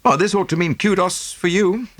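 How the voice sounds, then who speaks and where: Man playing English gentleman, earnest, baffled, hesitant, raised pitch, English accent, dry close sound, detective story. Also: normal speed, dry recording. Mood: approving. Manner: eager, hesitant, slightly exasperated.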